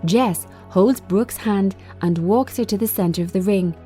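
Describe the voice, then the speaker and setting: A woman reading screenplay directions as scripted narration, in measured, short phrases. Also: medium pitch, mellow, soft background music. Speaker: screenplay reader.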